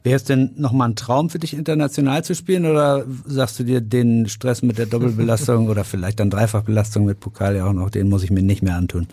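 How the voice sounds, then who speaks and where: A male interviewer puts relaxed, friendly questions to his guest. His voice is warm and mellow. The recording is dry, with no room sound. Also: mid-range voice, quick pace, hardly any pauses, dry recording.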